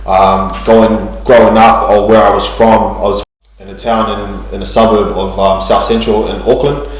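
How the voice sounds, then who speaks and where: A man tells his story slowly, full of ums and restarts. His voice sits a little low. It rings through a very echoey room. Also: flat delivery. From New Zealand. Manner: hesitant, many ums and restarts. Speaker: guest sharing testimony. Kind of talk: church testimony interview.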